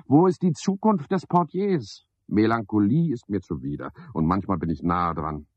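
A garrulous, pompous porter from a radio play, scheming and full of himself. His voice is husky and swings widely in pitch as he piles up wordy, clipped phrases. The sound is that of a dull seventies radio recording. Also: animated, moderate pace, no room sound. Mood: wheedling, effusive. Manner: wordy, self-important, rambling in clipped phrases. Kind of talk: radio play monologue, theatrical.

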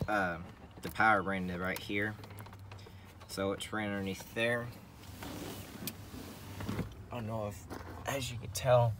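A man giving a casual hands-on walkthrough in a rambling, hesitant way, often repeating his phrases. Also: lowish voice. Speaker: vlogger showing a CB radio setup in a truck. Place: in and around a parked truck. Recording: noisy handheld recording with vehicle ambience.

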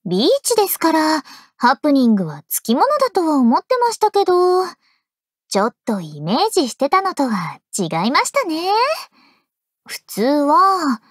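A woman's voice: high voice, very animated, moderate pace, no echo, clean studio sound. An actress voicing a sweet, teasing teenage girl in an anime game, with a polite, cutesy, coaxing manner. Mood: playful, teasing. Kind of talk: anime game character acting. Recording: voice over loud game music.

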